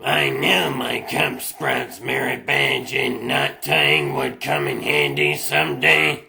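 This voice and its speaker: A man's voice: lowish voice, wildly swooping pitch, slow pace, gravelly, sharp tone, slight background noise, voice pushed down, full character voice. A man voicing a wisecracking duck superhero with a flippant, quippy manner, in a comic dub. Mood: joking.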